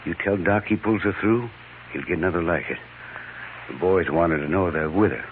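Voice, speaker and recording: A rough Old West miner acted by a man with a very deep voice and blunt, rustic speech. It comes through as a noisy, narrow old radio broadcast. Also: moderate pace, lightly acted. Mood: grim, earnest. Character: rough, earnest miner speaking for the townsmen. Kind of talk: 1950s radio western acting.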